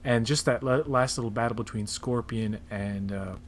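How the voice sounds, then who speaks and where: A man's voice: medium pitch, normal speed, over a noisy crowd. A man chatting casually and enthusiastically, with strong opinions, as a panelist on a pop culture show. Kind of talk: casual chat about movie trailers.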